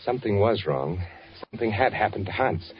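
Undercover agent for 1940s radio drama, male actor: deep, animated yet deliberate, posing as an architect. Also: background noise, close to an everyday voice. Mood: grave, uneasy. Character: shrewd undercover spy posing as an architect, also narrating. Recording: dull, band-limited 1940s radio recording.

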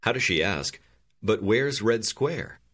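Deep-voiced male course narrator. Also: takes it slowly. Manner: calm, clear prompting. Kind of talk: scripted instructional narration.

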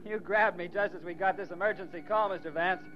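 A jaunty ambulance doctor, played by a man calls out teasingly in a high, raised voice. It is bantering 1940s radio drama acting. The sound is dull, with clear noise behind it. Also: fairly quick, natural voice.

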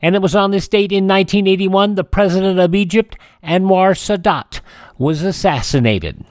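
A man's voice: sits high, normal speed, no room sound, clean studio sound. A man hosting a radio show, reading scripted notes on history.

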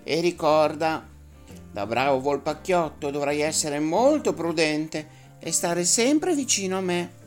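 A woman voicing an anxious, doting mother fox who pleads in a high, loud voice. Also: lively intonation, a little slow, soft background music. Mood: fussing.

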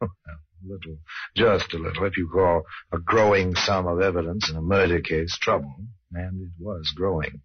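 A man narrating an old radio crime drama as a sardonic storyteller, deliberate and full of rhetorical questions. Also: deep voice, steady tone, slightly rough, no echo. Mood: wry. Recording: dull old radio recording.

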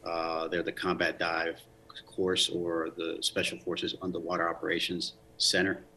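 Guest, male, 40s, from the Dominican Republic; very low pitch, bright forceful tone; earnest storyteller, lots of restarts. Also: monotone, unhurried, a little hiss or hum. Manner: many restarts and fillers, earnest. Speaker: guest, Army command sergeant major. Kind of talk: casual interview storytelling.

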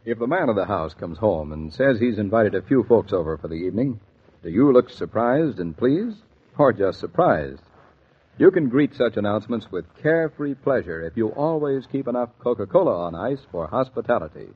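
A 1940s radio announcer, a man with a low, husky voice, reads a sponsor ad in a smooth, persuasive way. His pitch moves a lot. The old transcription is thin and tinny, almost like a phone line. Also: big pitch swings, normal speed.

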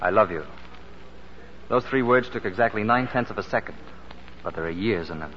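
A suave, impulsive romantic lead from 1940s radio, played by a man. He talks briskly in a fairly low voice. The broadcast sounds muffled. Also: a bit bright, slight background noise, natural voice. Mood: ardent. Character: dashing, impulsive male suitor, suave and thrill-seeking. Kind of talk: 1940s radio drama acting.

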